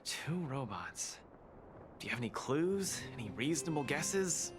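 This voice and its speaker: A man voicing a calm, reassuring investigator in a video game, measured and businesslike. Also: on the high side. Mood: calm, probing. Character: composed, dependable male investigator, reassuring and businesslike. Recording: background music under dialogue. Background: music.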